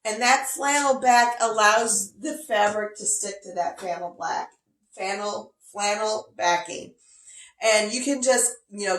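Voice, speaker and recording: A woman with a scratchy voice and a slight cough, teaching a casual quilting lesson from her home sewing studio in a chatty, folksy way. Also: medium pitch. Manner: chatty, folksy, talks to her dog between steps. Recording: echoey room.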